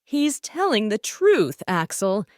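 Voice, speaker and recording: A woman's voice: medium pitch, sing-song, slow pace, a little mellow, dry recording, studio quality, pitched above the performer's own voice. A woman voicing a caring female car, a detective's loyal partner, in a children's storybook. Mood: assured.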